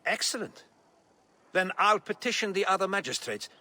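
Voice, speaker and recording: Earnest Greek statesman, bright forceful voice, slightly high, game drama, faint noise. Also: animated, moderate pace, heavily acted. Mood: purposeful. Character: earnest, educated, reform-minded Greek male politician. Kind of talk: video game voice acting.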